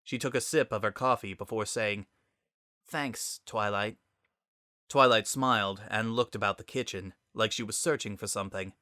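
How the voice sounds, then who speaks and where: Bright-voiced man narrating a story. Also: fairly low pitch, fairly even intonation, brisk, hardly any pauses, dry recording, studio quality. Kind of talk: dramatic scripted story narration.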